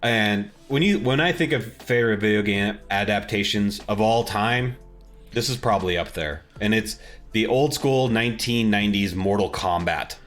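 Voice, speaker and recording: A man's voice: lowish voice, normal speed, a bit bright, soft background music. A man co-hosting a podcast, talking in an informal, enthusiastic way about films and games. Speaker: podcast co-host.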